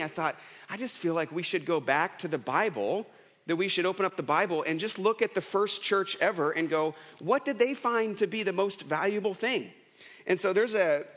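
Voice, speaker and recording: A man's voice: on the high side, fairly bright tone. A man in his thirties preaching a casual, storytelling sermon, chatty and self-deprecating with lots of restarts. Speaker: pastor. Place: church sanctuary. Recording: long church reverb.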